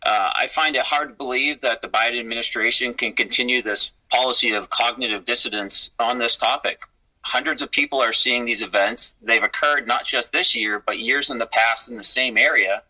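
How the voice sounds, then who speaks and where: A man answering interview questions as a guest, earnest and deliberate, speaking in policy terms. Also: medium pitch, bright, forceful tone, rough recording.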